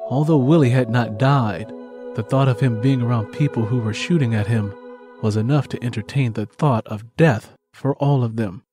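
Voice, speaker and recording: A man narrating a scripted dramatic story as an audiobook narrator, solemn and measured. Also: mid-range voice, warm tone, faint music behind.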